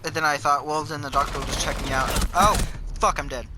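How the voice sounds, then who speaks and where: A man's voice: on the high side, fast. A young man chatting casually in a rambling, sweary storytelling way, as if to a friend while gaming. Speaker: gamer telling stories to a friend. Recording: noisy gaming voice chat with clicks.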